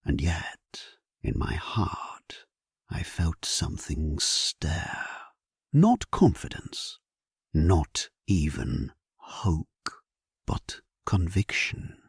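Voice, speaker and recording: A man narrating a first-person historical sleep story in a solemn, measured, hushed, breathy voice, as a grave and resolute medieval king. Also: deep voice, no echo, studio quality.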